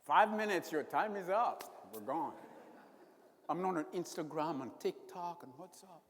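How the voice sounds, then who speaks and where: An older retired priest from Uganda, preaching an animated sermon with repetition and humor. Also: male voice, on the high side, a little slow, noisy background. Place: church. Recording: long church reverb.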